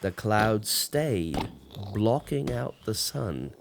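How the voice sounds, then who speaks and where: A man reading a children's storybook aloud as an expressive narrator. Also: on the low side, extremely slow, creaky, background noise. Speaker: storybook reader.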